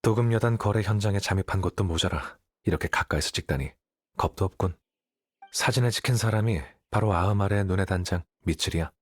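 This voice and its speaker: An aloof young scholar with a low, husky voice. He speaks in a composed, dry, self-assured way, closely and cleanly recorded in anime game style. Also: fairly quick, no echo. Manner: composed, dry, matter-of-fact. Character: cool, aloof young male scholar, calmly confident.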